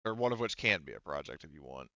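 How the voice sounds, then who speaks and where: A man's voice: extremely low pitch, big pitch swings, quick pace, pushed and bright, no echo. A man running a tabletop roleplaying game as game master, casual and thinking aloud with hesitations, on dull lo-fi audio.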